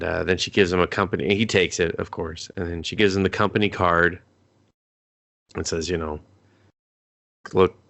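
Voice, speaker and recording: A man's voice: deep voice, creaky, fairly bright tone. A man co-hosting a movie review podcast, recapping scenes in casual, joking banter and acting out the characters' lines. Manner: joking, acts out scenes in quick put-on voices. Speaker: podcast co-host.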